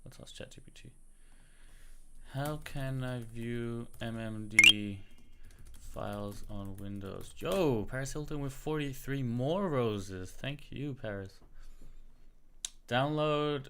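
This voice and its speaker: A man's voice: medium pitch, slow pace, bright, forceful tone, clean tone. An Australian man with an American-sounding accent, casually talking to himself and greeting viewers while he searches on his computer during a livestream. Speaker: livestream host. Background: keyboard typing and clicking.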